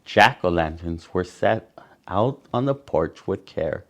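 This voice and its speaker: A man reading a picture book aloud to children as a storyteller, with dramatic pauses and asides to his young listeners. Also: low voice, expressive.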